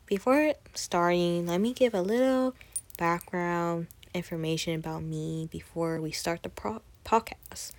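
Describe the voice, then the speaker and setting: A woman's voice: fairly low pitch, a little slow. A woman, hosting her own podcast in a casual, chatty monologue full of fillers and restarts. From Texas, USA. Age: 20s. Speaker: podcast host.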